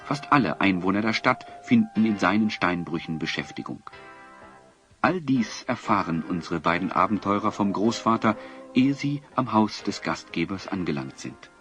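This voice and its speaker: A man narrating a German audio drama in an elaborate, literary and gently ironic storyteller's manner. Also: deep voice, animated, moderate pace. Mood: descriptive. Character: measured storytelling male narrator. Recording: dull lo-fi tape, music behind.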